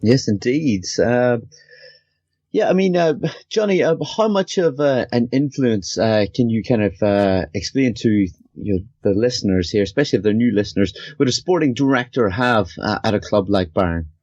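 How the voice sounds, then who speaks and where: A man's voice: mid-range voice, animated, normal speed, a little mellow, no room sound. A man hosting a casual football podcast, conversational and steering the discussion among panellists. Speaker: podcast host. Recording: slightly dull, dry audio.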